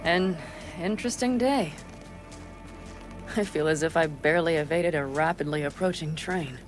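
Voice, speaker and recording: A woman's voice: low-pitched, normal speed, faint music behind, lightly acted. An actress playing a fiery, earnest young nomad woman in a cinematic video game. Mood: grateful.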